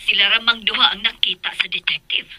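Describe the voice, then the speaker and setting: A stern middle-aged mother in a radio drama. Her husky voice is sharp and forceful, full of suspicion. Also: medium pitch, fairly even intonation, a little fast. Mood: suspicious.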